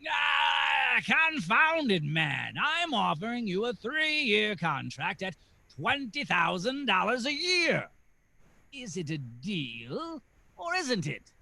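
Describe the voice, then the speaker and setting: Scheming old tycoon, 1940s radio drama. High-pitched, sharp, condescending, unhurried. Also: male voice, very animated, vocal fry, heavily acted. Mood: angry, impatient. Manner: slow, deliberate, condescending. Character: scheming, sneering old rich businessman villain.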